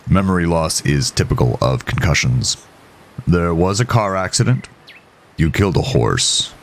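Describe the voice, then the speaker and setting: A man voicing a gruff, stern, impatient small-town doctor with formal, precise speech in an audio horror story. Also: sits low, lively intonation, moderate pace, slight background noise.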